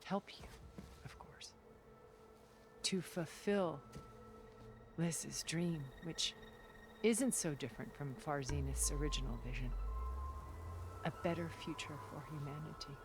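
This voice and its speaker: An actress voicing a cultured, centuries-old woman recluse in a video game cutscene, speaking in a calm, deliberate and elegant way. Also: deep voice. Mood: measured. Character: cultured, ancient woman recluse, measured and regretful. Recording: game cutscene with music underneath.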